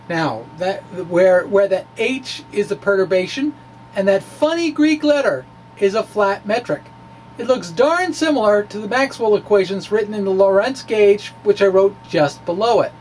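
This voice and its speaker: A man with a high-pitched voice reads a science blog aloud in a folksy, conversational way. He explains haltingly and drops in informal asides. A faint hum and noise sit behind the voice. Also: darkish tone, low-quality recording. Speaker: amateur physicist presenter. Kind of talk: dramatic reading of a physics blog, conversational asides.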